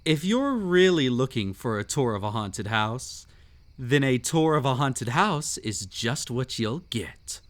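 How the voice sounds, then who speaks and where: A man acts out a mysterious, sinister gentleman of a haunted estate in an audio roleplay. He speaks slowly and theatrically, teasing his listener with whimsical menace. His pitch swoops playfully through drawn-out phrases. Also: very animated. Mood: playful, amused. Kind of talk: scripted roleplay audio drama.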